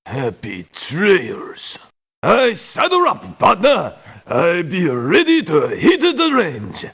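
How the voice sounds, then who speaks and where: An actor playing a folksy, cocky cowboy mercenary in a video game, with a husky voice and broken English full of western slang. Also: male voice, sits high, huge pitch swings, takes it slowly, fairly bright tone, exaggerated voice. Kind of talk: video game character voice lines.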